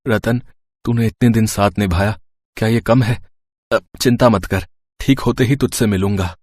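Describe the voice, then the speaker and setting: Gentle, earnest ailing husband played by a man. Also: lowish voice, fairly even intonation, moderate pace, no echo, natural voice. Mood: reassuring. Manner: reassuring, earnest.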